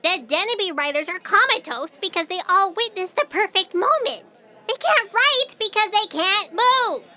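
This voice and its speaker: A woman's voice: very high voice, animated, normal speed, bright, forceful tone, full character voice. A woman playing a perky, eager, pleasure-loving young investigator in a comedic audio drama. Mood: inquisitive.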